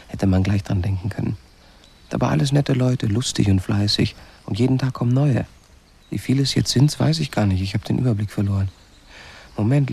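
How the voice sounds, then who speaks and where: An actor playing a chatty, easygoing, wry owner of a space colony, rambling colloquially in a radio play. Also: male voice, mid-range voice, creaky, darkish tone, husky. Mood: amused, chatty. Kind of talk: radio play acting, conversational monologue.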